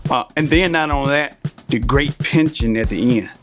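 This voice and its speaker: A man's voice: mid-range voice, moderate pace. Older man explaining, music behind. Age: 50s or older. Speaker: interview guest, veteran air traffic controller. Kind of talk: conversational interview, explaining a career.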